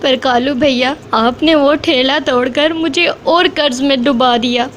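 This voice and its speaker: A poor mother sparrow in a cartoon, pleading and close to tears. Her voice sits very high, and a faint hiss runs underneath. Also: steady tone, a little slow, fairly bright tone. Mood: tearful. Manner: pleading, tearful. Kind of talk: cartoon voice acting.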